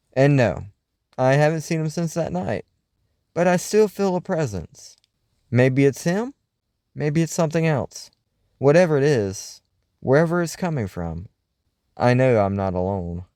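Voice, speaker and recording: A man playing a wry, uneasy host of a late-night paranormal radio show, speaking in a scripted, folksy, theatrical way with deliberate pauses. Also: on the high side, dark tone, clear, resonant tone, no room sound, clean studio sound, full character voice. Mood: ominous.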